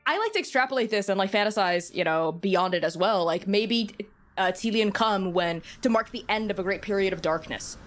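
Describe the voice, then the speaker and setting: A woman talking casually and enthusiastically as a podcast guest and game master, informal and animated. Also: medium pitch, a little fast, soft background music.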